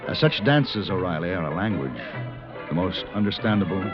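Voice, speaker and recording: An actor playing a calm, worldly gunslinger in an old radio western, speaking with an American accent in a measured, coaxing, dryly amused way. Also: male voice, deep voice. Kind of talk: old-time radio western acting and narration. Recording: muffled vintage radio recording, music behind.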